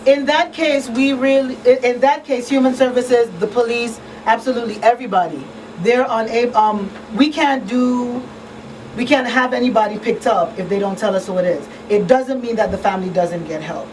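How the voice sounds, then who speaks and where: A woman's voice: fairly high pitch, moderate pace, a little mellow, distant mic. A woman talking conversationally as a panelist on a radio talk show in a small studio. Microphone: studio microphone on boom arm. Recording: roomy off-mic sound, faint background noise.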